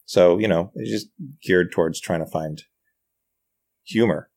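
A man chatting casually on a podcast as a writer and comedian guest, animated and self-deprecating. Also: deep voice, a little slow, creaky.

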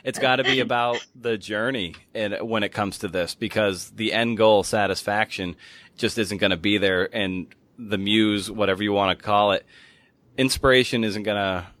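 A man with a fairly low voice hosts a casual interview. He speaks informally and with emphasis, often repeating words to stress a point. The sound is dry and close. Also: moderate pace, a bit bright, no echo. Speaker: podcast host.

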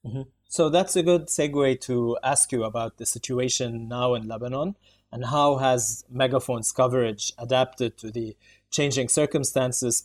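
A man hosting an interview podcast, putting questions to his guest. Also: medium pitch.

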